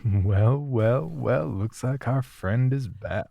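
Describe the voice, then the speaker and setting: An actor playing a flirtatious late-night radio DJ, smooth-talking and seductive, with teasing, breathy half-whispers. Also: male voice, medium pitch, slow pace, mellow, no echo. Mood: wry, flirtatious.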